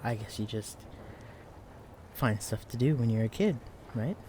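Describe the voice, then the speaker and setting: A young man playing a shy, clumsy, bookish librarian in an intimate audio roleplay, speaking in a hesitant, stammering, hushed way. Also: medium pitch, lively intonation, measured pace, a little hiss or hum, close to an everyday voice. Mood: shy, warm. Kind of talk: intimate audio roleplay acting addressed to the listener. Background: quiet library ambience.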